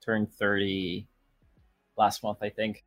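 A man's voice: lowish voice, flat delivery, takes it slowly, no room sound, soft background music. A thirty-year-old man from Poland whose first language is Polish, chatting casually as a podcast guest, rambling with fillers and restarts. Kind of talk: casual interview chat.

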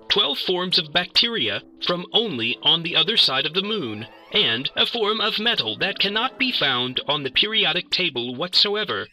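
A man's voice: high voice, bright, forceful tone, voice pushed up, heavily acted, rough recording. A man voicing a polite, procedural medical robot drone.

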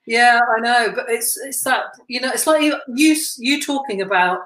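A woman hosting a podcast interview, with a deep voice and a chatty, self-deprecating manner. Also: animated, normal speed, echoey room, rough recording. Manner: warm, chatty, self-deprecating, laughs easily. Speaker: podcast host. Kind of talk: casual interview chat.

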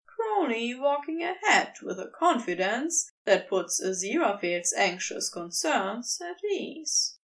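A woman reading scripted fiction aloud as an expressive story narrator. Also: on the high side, takes it slowly, hardly any pauses, distant mic. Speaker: podfic reader.

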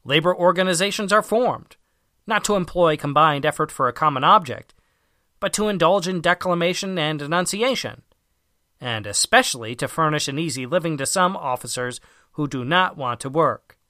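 Bright, forceful man reading an essay aloud. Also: fairly high pitch, lively intonation, moderate pace, no room sound, clean studio sound. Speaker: audiobook reader. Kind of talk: scripted narration of an essay.

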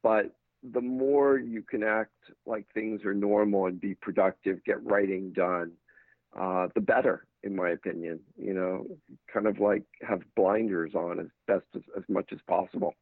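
Low-voiced American man on a tinny line. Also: unhurried, no room sound. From England. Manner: thoughtful, steady answers with frequent restarts. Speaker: talent and literary manager. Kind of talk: casual podcast interview. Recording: dry, tinny phone-like line.

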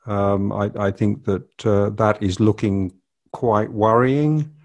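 A man's voice: lowish voice, fairly even intonation, slow pace, darkish tone. An older man hosting a casual family podcast, rambling and hesitant with many fillers. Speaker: podcast host.

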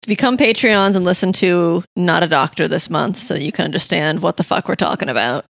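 A woman's voice: on the low side, a little fast, vocal fry, fairly bright tone, no room sound. A woman co-hosting a podcast, bantering casually with a wry, teasing and blunt comic manner. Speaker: podcast co-host.

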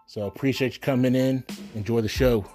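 A man in his thirties hosting his own podcast in a relaxed, rambling way, his voice hoarse from a cold, with frequent ums and the odd cough. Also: medium pitch, a little fast, soft background music. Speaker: podcast host. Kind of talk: casual solo podcast chat.

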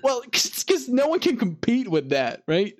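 A man's voice: very high voice, wildly swooping pitch, normal speed. A man co-hosting a podcast, chatting casually and rambling with lots of fillers and restarts. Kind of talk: casual chat.

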